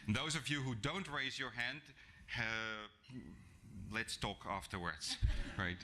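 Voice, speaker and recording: A man's voice: medium pitch, animated, unhurried, pushed and bright, a little hiss or hum. A middle-aged man from South Africa, English not his first language, giving a conversational talk at a business breakfast with frequent ums and restarts. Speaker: guest speaker. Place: function room with an audience. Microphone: podium microphone.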